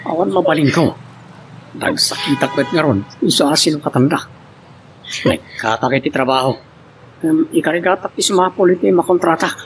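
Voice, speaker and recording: A man's voice: fairly high pitch, expressive, moderate pace, low-quality recording. An actor playing a hurt, remorseful man pleading with his lover in an Ilocano melodrama. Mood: weary, pleading. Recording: faint background noise.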